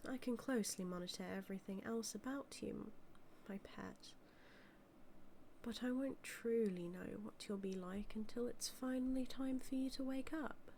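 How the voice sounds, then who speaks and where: A woman with a British accent playing a doting, villainous mad scientist in an audio roleplay, musing in a measured, condescending yet tender way. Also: on the high side, vocal fry, warm tone, a little hiss or hum. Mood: pensive, ambitious. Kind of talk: scripted audio roleplay monologue.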